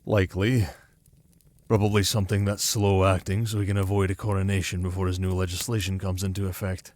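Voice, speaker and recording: A man's voice: deep voice, level tone, fairly quick, darkish tone, no room sound, close to an everyday voice. A man playing a wry young noble heir and plotter in a fantasy audio drama, speaking drily and formally. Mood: wry, conspiratorial.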